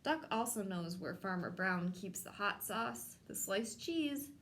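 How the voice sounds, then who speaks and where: A woman hosting a children's storytime, reading a picture book aloud in simple, measured phrases addressed to young children. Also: medium pitch, expressive, a bit bright, slight background noise. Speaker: children's storytime host at a public library.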